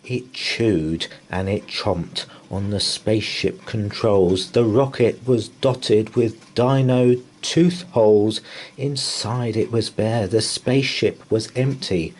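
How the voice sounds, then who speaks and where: A man reading a children's bedtime story aloud in rhyme, with an expressive, theatrical delivery. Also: fairly low pitch, slow, a little hiss or hum.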